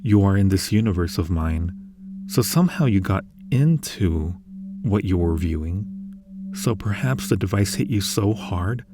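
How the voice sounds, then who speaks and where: A man plays a friendly, curious male being from a strange glass universe in an ASMR roleplay. The voice sits fairly low and moves very slowly. The delivery is gentle and reassuring, with thoughtful, hesitant musing. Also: expressive, background noise. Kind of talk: ASMR roleplay addressed to the listener.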